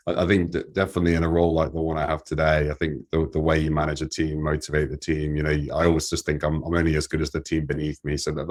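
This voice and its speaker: A man with an extremely deep, monotone voice talks about his work. He hesitates often, repeating words and reaching for fillers as he goes. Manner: hesitant, many restarts and fillers. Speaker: guest, group controller. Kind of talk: podcast interview.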